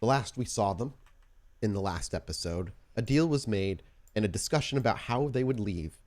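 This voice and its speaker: Low-pitched man hosting a roleplaying podcast. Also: expressive, moderate pace, darkish tone. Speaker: podcast host and game storyteller.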